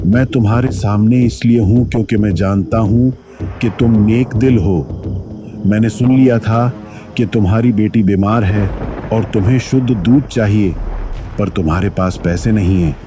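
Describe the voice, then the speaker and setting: Man playing low-voiced solemn ghost milkman. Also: moderate pace, background noise, natural voice. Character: ghost of an honest, wronged village milkman, solemn and grateful. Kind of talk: Hindi cartoon story voice acting. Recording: music behind the voice.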